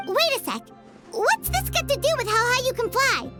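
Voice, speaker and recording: A woman voicing a tiny, excitable, chatty fairy companion with a squeaky childlike voice in a video game. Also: normal speed, over loud music, full character voice. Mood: exasperated, urgent. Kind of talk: video game cutscene voice acting.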